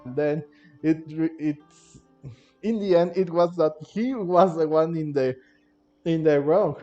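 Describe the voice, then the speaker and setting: Mellow, slow-talking male gamer, dull sound. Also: on the high side. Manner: hesitant, rambling. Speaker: gaming video host. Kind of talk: casual game playthrough commentary. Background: faint game music.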